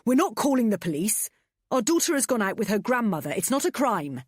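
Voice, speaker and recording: A woman voicing a frantic young mother who shouts in panic and fury. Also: fairly high pitch, sing-song, brisk, husky, dry recording, studio quality, voice pushed up.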